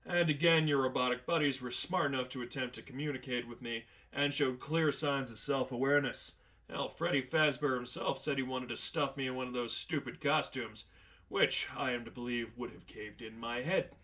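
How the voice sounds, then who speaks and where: A man's voice: medium pitch, moderate pace, sharp tone, natural voice. A man playing a sardonic, unflappable veteran demon hunter in a story reading, matter-of-fact and flippant. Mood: deadpan, flippant. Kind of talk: dramatized fan fiction reading.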